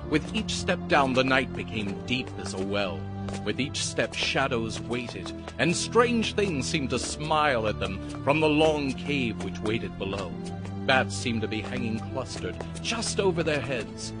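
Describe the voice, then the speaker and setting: A man's voice: medium pitch, lively intonation, a little slow. A man narrating a dramatized audiobook as a scripted, theatrical story. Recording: music behind the narration.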